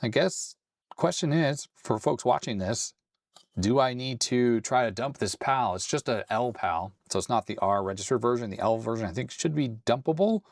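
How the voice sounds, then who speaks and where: Slightly hoarse man thinking aloud. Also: mid-range voice, no room sound, studio quality. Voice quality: raspy. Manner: thinking aloud, tentative and self-deprecating. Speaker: host. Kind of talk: casual hands-on tech walkthrough.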